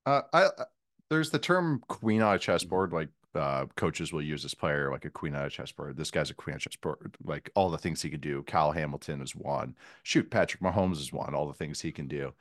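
Really deep-voiced guy, guest analyst on a podcast, jokey and rambly, dry sound. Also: animated, a little fast, dry recording. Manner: joking, rambling with many fillers. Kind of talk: casual sports podcast chat.

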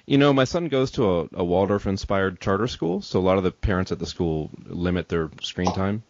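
Male podcast host, deep and tentative, casual delivery, dry but slightly dull recording. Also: fairly quick, dry recording. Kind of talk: casual chat. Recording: dull, lo-fi close microphone.

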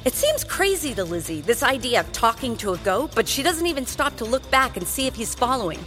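A woman's voice: a little high, sing-song, brisk, fairly bright tone, faint music behind. A woman narrating a children's drama in a scripted, suspenseful and emphatic storytelling style.

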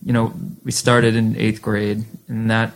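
Man, 30s, gravelly low-key guest, loose rambling delivery. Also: measured pace, low-quality recording. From Western Massachusetts, US. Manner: relaxed, rambling, many "like" fillers. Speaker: record label co-founder, guest. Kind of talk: casual interview chat.

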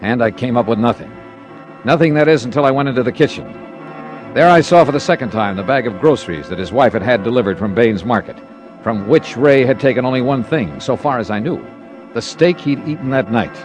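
An actor playing a terse, hard-boiled insurance investigator in a 1950s radio drama, brisk, probing and matter-of-fact. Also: male voice, mid-range voice, faint music behind, lightly acted. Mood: intent, measured. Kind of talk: 1950s radio detective narration and dialogue.

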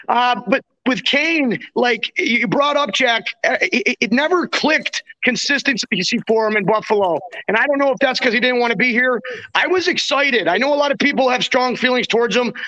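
Very high-pitched man hosting a sports podcast. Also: pushed and bright, rough recording. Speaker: podcast host; former hockey goaltender. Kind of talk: casual sports podcast talk.

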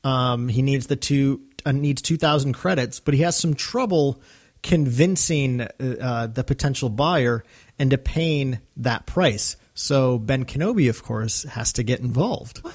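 A man's voice: mid-range voice, measured pace. A man hosting a podcast, giving a casual recap in conversational fan discussion. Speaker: podcast host.

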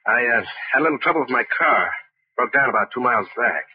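Desperate man on the run, really husky, old radio play, muffled recording. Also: medium pitch, expressive, quick pace. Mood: guarded. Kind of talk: old-time radio drama acting.

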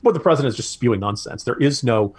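A man's voice: medium pitch, lively intonation, fast, a little mellow, slightly rough. An American man co-hosting a political podcast, giving opinionated commentary in an emphatic, sardonic way with mocking asides.

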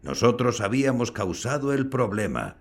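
A man acting a kindly, modest old grandfather and former scientist in an audio drama, explaining things in a measured way. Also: mid-range voice, big pitch swings. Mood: warm, reflective. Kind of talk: scripted audio fiction acting.

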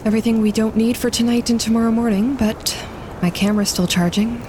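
An actress playing an uneasy woman on a wilderness expedition, speaking haltingly in naturalistic drama dialogue. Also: fairly even intonation, natural voice. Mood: resigned. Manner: hesitant, halting. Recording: low rumbling background noise.

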